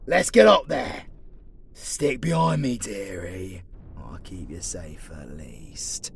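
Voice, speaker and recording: A man with a British accent playing a gruff, loyal young demon warrior, wounded and protective, with rough colloquial speech, in a fantasy audio drama. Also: sits low, expressive, takes it slowly, slight background noise, full character voice. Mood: fired up, protective. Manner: rough colloquial speech, calls listener dearie. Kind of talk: fantasy audio roleplay acting, intimate ASMR.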